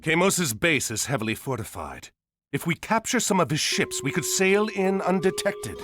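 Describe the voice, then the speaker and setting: An actor playing a bold, resolute military leader in a video game, decisive and commanding. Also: male voice, a little high, very animated, normal speed, music in the background. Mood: grim resolve. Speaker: hero commander. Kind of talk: heroic video game cutscene acting.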